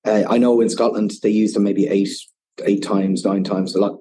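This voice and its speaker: A man's voice: lowish voice, little pitch movement, normal speed, mellow, breathy edge. A man with an Irish accent, a whiskey ambassador guest on a podcast, explaining at length and often saying "you know". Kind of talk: casual podcast interview.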